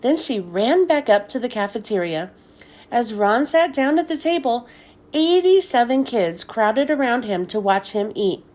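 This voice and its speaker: A woman narrates a children's storybook in a fairly high voice. Her reading is expressive and very animated in pitch, taken at a slow, deliberate pace. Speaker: children's book read-aloud host.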